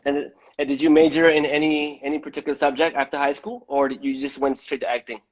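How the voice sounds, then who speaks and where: A man from Malaysia hosting a conversational live-stream interview, speaking haltingly and often repeating words. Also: a little high, level tone. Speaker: host of a live debate. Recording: dull, lo-fi live-stream audio.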